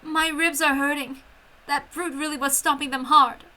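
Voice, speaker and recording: A woman's voice: high voice, steady tone, normal speed, sharp tone. A woman voicing a feisty, defiant young Italian woman in danger, urgent and emotional, in a story reading. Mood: hurt, grateful.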